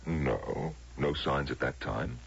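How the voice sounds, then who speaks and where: A man's voice: extremely low pitch, takes it slowly, creaky, exaggerated voice. An actor playing an uneasy, deferential man in a radio drama, hesitant and careful, on a muffled old broadcast. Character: uneasy, deferential man reporting to a female superior.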